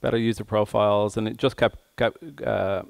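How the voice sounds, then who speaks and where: A man giving a tech conference presentation as an engineer, speaking hesitantly with frequent pauses mid-phrase. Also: fairly low pitch, steady tone, vocal fry, no room sound.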